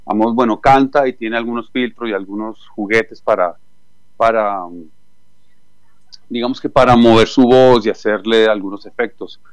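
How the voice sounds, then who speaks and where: A male musician from Chile with a Colombian accent, talking through an interview over the line with many thoughtful "eh" pauses. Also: on the low side, steady tone, smooth, no room sound, low-quality recording. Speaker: musician guest. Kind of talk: phone interview.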